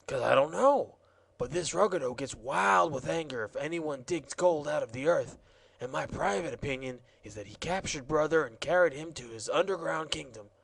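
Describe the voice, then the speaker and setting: A man's voice: on the high side, lively intonation, moderate pace, vocal fry, fairly bright tone, husky, full character voice. A man reading a storybook aloud, voicing a rambling, easygoing wandering man in a chatty, offhand way. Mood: rueful. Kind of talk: audiobook character voice.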